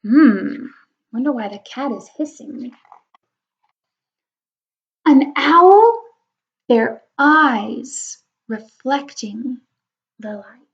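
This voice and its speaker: Lady reading a picture book to little kids, really slow, full of wonder, dull lo-fi audio. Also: high-pitched. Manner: expressive, wondering, talking to young children. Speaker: read-aloud storyteller. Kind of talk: children's picture book read-aloud with chatty asides. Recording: dull lo-fi recording.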